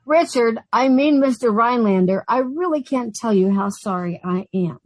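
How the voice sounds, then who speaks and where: A long-suffering, status-conscious young woman played by an actress in a radio sitcom. She is emphatic and sensible, with a fairly high voice recorded close and dry. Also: expressive, normal speed, no echo. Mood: apologetic, embarrassed. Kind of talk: old-time radio sitcom acting.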